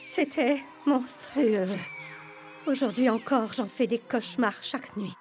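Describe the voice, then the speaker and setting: A woman's voice: a little high, measured pace, a little mellow, faint music behind, lightly acted. A woman playing a demanding, melodramatic reality show director in a cartoon dub, bossy and dramatic. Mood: haunted. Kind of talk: animated cartoon dubbing.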